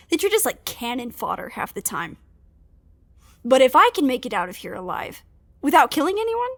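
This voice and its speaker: An actress playing a small, hot-tempered female field agent, venting sulkily and indignantly in an audio drama monologue. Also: sits high. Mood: resentful, frustrated. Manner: sulky, sweary, indignant venting.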